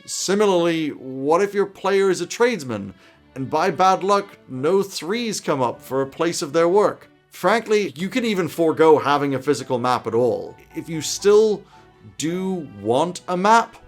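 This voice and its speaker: A man's voice: on the high side, lively intonation, unhurried, faint music behind. A man in his thirties presenting scripted game master advice to camera from a small home office. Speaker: YouTube host giving game master tips.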